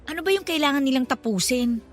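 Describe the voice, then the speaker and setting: A woman's voice: sits high, lively intonation, quick pace. A woman playing an earnest, loyal young flower vendor in a radio drama. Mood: curious, chatty.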